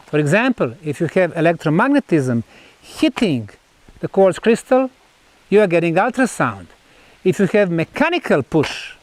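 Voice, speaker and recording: High-pitched Bosnian-accented man presenting. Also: dry recording. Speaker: researcher presenting measurements on site. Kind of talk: explanatory on-site presentation.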